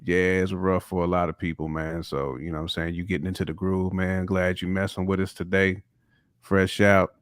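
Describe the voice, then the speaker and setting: A man's voice: sits low, flat delivery, fairly quick, no room sound. An American man co-hosting a podcast, chatting casually in a laid-back, slangy way. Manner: laid-back, slangy, peppered with "you know what I'm saying". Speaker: podcast co-host. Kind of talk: casual chat with shout-outs.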